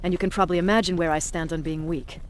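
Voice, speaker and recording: An actress playing a tough, stern resistance commander in a video game, authoritative, curt and dryly sardonic. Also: female voice, on the low side, steady tone, quick pace, a little hiss or hum, close to an everyday voice. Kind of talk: dramatic video game acting.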